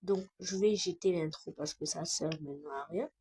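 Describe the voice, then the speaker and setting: A woman's voice: on the low side, normal speed, a little mellow, slightly rough. A woman doing amateur role-play storytelling for a homemade video skit.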